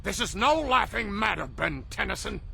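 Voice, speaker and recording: A man's voice: high-pitched, sing-song, normal speed, vocal fry, pushed and bright, a little hiss or hum, heavily acted. A man playing a cranky, haughty alien elder in a cartoon video game, speaking in a stern, scolding, formal way. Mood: grim.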